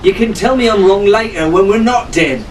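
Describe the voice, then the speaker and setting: A man's voice: high voice, normal speed, far from the mic, a little hiss or hum, pitched above the performer's own voice, natural voice. A man voicing a cocky, sarcastic teenage pirate captain who urgently barks orders in an audiobook. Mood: urgent, shouting. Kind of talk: audiobook character acting.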